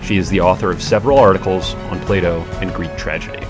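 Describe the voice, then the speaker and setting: A man's voice: low voice, fast talker, over music. A man hosting an academic interview podcast, speaking with frequent hesitations and repeated words. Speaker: podcast host.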